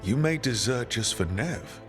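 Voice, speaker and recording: An actor playing an easygoing young rebel hero in a fantasy game, speaking in a casual, reassuring way. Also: male voice, medium pitch, animated, a little slow, soft background music, natural voice. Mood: teasing. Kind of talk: game dialogue acting.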